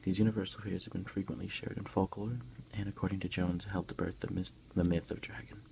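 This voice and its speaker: A man speaking in a soft, unhurried, hushed whisper close to the microphone, as an ASMR creator reading aloud and commenting for an unboxing. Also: deep voice, little pitch movement, creaky, slight background noise, poor audio.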